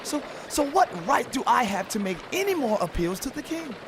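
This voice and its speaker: An actor playing a lame, wronged and submissive royal grandson, pleading deferentially in a dramatized audio Bible. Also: male voice, extremely high pitch, big pitch swings, brisk, noisy background.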